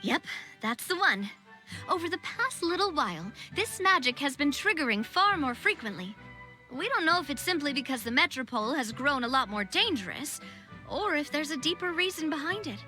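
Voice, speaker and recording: A confident, friendly young female leader in a video game. The voice is high and very animated, with a crisp bright edge. Her manner is breezy and self-assured. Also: moderate pace, faint music behind, exaggerated voice. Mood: serious, explaining.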